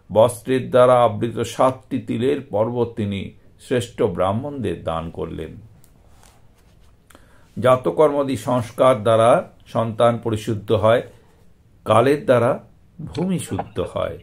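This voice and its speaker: A man reads devotional scripture from a text in a medium-pitched voice. His pace is very slow and deliberate. He sets out each phrase in a measured way, with short pauses between them. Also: gravelly, a little mellow. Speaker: scripture reader and presenter. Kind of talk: scripted devotional reading of scripture with Sanskrit verse chanting.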